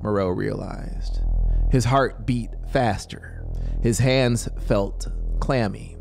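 A man in his thirties giving a dramatic, deliberate story narration into a close studio microphone. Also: lowish voice, vocal fry, a little mellow. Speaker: audiobook narrator. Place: small home music studio. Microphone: close studio microphone with headphones. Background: synthesizer soundscape music.

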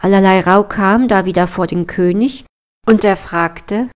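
A woman's voice: medium pitch, unhurried. A woman storyteller narrating a scripted fairy tale.

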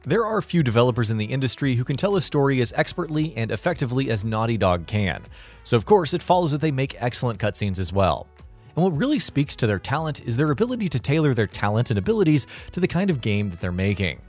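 A man delivers polished, scripted narration for a gaming list show. His pitch moves in lively swings as he stresses key words, and faint music sits behind him.

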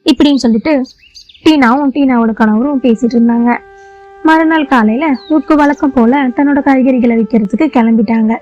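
High-voiced woman narrating a children's story. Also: fairly quick, darkish tone, lo-fi sound, faint music behind.

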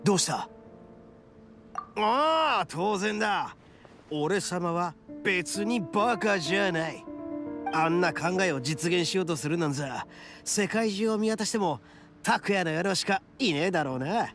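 A man voicing a brash, cocky young oni gang boss with rough, boastful delivery in a Japanese anime game. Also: high-pitched, expressive, measured pace. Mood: proud, resolute. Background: game music.

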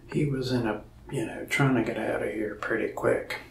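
A young man speaking softly, half-whispering as a folksy, rambling mask shop salesman. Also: medium pitch, steady tone, moderate pace, creaky, roomy sound, far from the mic, a little hiss or hum, poor audio. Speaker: ASMR creator playing a mask shop salesman. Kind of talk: soft-spoken ASMR roleplay. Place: indoors, small room in front of a wall of masks.

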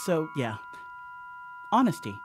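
An actor playing a flustered, self-justifying house sitter, rambling chattily and defensively in a horror radio play. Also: male voice, on the high side, big pitch swings, slow, noisy background. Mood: sheepish.

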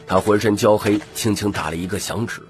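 A man's voice: fairly low pitch, steady tone, a little fast. A man narrating an audiobook story in a dramatic, scripted storytelling style. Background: background music.

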